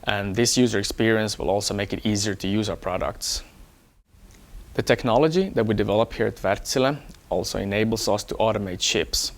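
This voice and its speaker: A man from Hong Kong, an engineer, talking about himself in a measured, explanatory way for an employee profile. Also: on the low side. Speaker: electronics engineer. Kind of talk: first-person employee profile talk.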